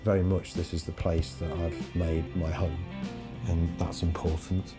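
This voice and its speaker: A man in his late fifties speaks in a very low register, earnest and reflective as he answers a documentary interviewer. Music plays clearly underneath his voice. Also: level tone, measured pace. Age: 50s. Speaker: band rhythm guitarist. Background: music bed.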